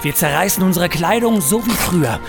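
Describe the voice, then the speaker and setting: Melodramatic heroic office adventurer, pompous, super animated, comedy audio drama with music under it. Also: male voice, sits high, a little fast, creaky. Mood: rousing. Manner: pompous, grandiose declamation. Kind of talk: German comedic radio play acting. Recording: music behind the voice.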